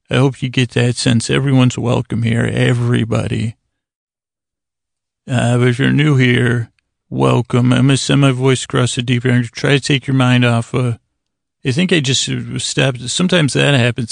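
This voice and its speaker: A man hosting a sleep podcast, giving a meandering, stop-and-start bedtime monologue in a drawn-out, soothing delivery. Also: medium pitch, vocal fry, dry recording, studio quality. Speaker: podcast host. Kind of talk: rambling bedtime monologue to lull listeners to sleep.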